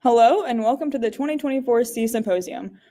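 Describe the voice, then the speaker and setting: A woman's voice: a little high, expressive, fast talker, dark tone. A teenage girl student formally welcoming the audience and opening her team's presentation. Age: teens. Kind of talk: scripted welcome.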